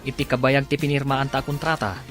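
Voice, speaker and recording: A man's voice: mid-range voice, level tone, brisk, a bit bright, soft background music, lightly acted. A man playing a chummy young co-worker in a radio drama, casual and bantering. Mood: teasing.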